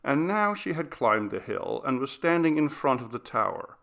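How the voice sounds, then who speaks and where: Gravelly-edged man narrating a ghost story. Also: mid-range voice, very animated, normal speed, a bit bright. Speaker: audiobook narrator.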